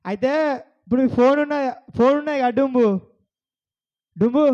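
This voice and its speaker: A man's voice: extremely high pitch, a little fast, a little mellow, muffled recording. A man hosting a kids' bible puppet show, asking the children questions over and over.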